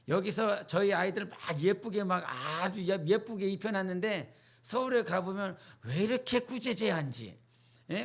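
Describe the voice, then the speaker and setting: High-voiced gravelly Korean pastor, North Jeolla accent. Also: male voice, fairly bright tone. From North Jeolla, South Korea. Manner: conversational storytelling preacher who asks the congregation questions. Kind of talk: midweek church sermon.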